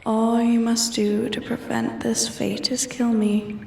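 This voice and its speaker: A woman's voice: on the high side, flat delivery, slow pace, creaky, a little mellow. A woman playing an ancient, arrogant female dragon in a roleplay ASMR, haughty and taunting, often sinking toward a whisper. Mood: haughty, mocking. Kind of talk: roleplay ASMR, scripted dramatic monologue. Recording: echoey, distant from the mic.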